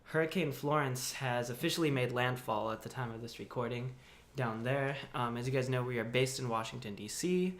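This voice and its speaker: A man's voice: medium pitch, a little fast. Laid-back young man in an echoey room. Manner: relaxed, laid-back with swearing and laughs. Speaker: podcast co-host. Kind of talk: casual news chat.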